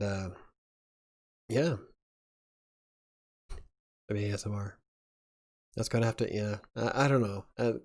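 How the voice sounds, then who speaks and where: A man with a fairly low voice hosts a loose live chat. He rambles, laughs between thoughts and speaks. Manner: rambling, chuckling often. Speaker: livestream host.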